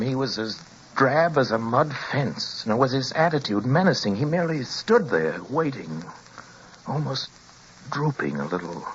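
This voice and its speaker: An actor playing a driver who recounts a troubling journey in measured narration that slips into nervous stammers, in a radio play. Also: male voice, medium pitch, a bit bright, a little hiss or hum, close to an everyday voice. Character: uneasy male driver recounting a trip. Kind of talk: 1940s radio drama narration. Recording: dull lo-fi with music behind.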